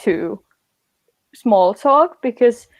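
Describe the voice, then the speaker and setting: A woman's voice: a little high, animated, drawn-out words. A young woman, chatting casually as an interview guest, with many fillers. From Finland. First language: Finnish.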